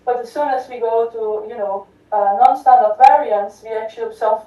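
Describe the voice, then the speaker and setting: A woman's voice: on the high side, fairly even intonation, normal speed. Woman giving an academic talk in an echoey hall. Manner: many fillers and restarts, addresses audience with "right?". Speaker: conference speaker. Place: lecture hall. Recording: distant echoey lo-fi hall recording.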